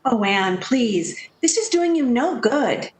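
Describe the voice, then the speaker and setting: Actress as an anxious, high-pitched pleading friend. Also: female voice, big pitch swings, slow. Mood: pleading, gentle. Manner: pleading, cautious. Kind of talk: 1940s radio drama acting.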